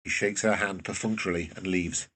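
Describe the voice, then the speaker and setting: A man with a very husky voice reading scripted present-tense narration for a drama, recorded away from the microphone. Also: sits low, fairly even intonation, a little fast, a bit bright, distant mic.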